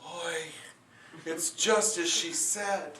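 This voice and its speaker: A man voicing a timid peasant farmer who speaks softly and stammers nervously, recorded in a roomy space from a distance. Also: on the high side, a little slow. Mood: meek. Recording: roomy echo, distant mic.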